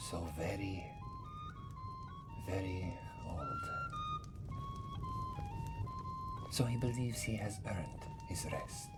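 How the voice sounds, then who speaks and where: Man voicing a deep, slow old cat-folk priest. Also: heavily acted, rough recording. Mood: weary, reflective. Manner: speaks of himself in third person, rambling, musing. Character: very old male cat-folk former moon priest, wry, courteous. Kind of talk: fantasy roleplay ASMR, intimate storytelling. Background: music.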